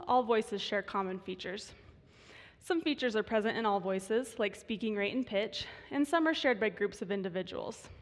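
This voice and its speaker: Young woman with vocal fry in a hall. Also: fairly high pitch. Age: 20s. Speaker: student voice researcher giving a talk. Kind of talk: prepared short stage talk. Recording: reverberant hall.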